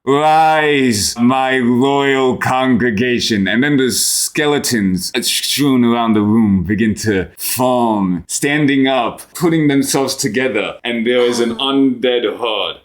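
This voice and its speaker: A man narrating an improvised tabletop game as game master, halting and repeating phrases as he describes the scene. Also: medium pitch.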